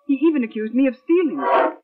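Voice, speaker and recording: A woman's voice: sits high, big pitch swings, fast. An actress playing a principled, wary female assistant in a 1940s radio drama, speaking formally and earnestly. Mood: aggrieved. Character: principled, wary young woman, a loyal assistant and narrator. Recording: muffled, band-limited old radio recording.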